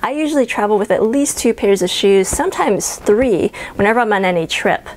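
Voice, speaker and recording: A woman's voice: medium pitch, expressive, fairly quick, rough recording. Woman reviewing travel gear on camera. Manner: upbeat, friendly, explanatory. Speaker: travel vlogger. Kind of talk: scripted product walkthrough. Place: small bedroom with a closet, indoors. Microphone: clip-on lapel mic.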